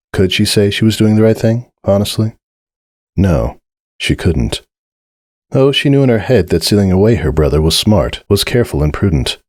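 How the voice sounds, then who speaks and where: A low-pitched man narrates fiction in a dramatic, solemn manner. His phrasing is measured and deliberate, and the recording is close and dry. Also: darkish tone, no room sound. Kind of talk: dramatic scripted story narration.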